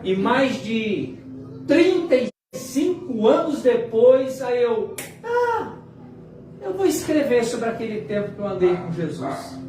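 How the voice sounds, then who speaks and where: A Brazilian man in his late fifties preaching a sermon as a pastor, using dramatic pauses and repetition for emphasis. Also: extremely high pitch, big pitch swings, a little mellow, a little hiss or hum. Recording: very echoey hall, distant mic, music behind. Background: music.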